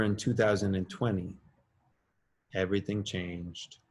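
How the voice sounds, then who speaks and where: Expressive man reading a storybook. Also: mid-range voice. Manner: expressive, lively rises on questions and exclamations. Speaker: author reading his children's story; assistant principal. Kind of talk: storybook read-aloud.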